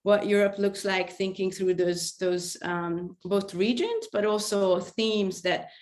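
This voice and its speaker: A woman in her forties with a Hungarian accent, a history professor giving halting, hesitant panel remarks over a video call, often laughing. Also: mid-range voice, darkish tone. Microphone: video call mic.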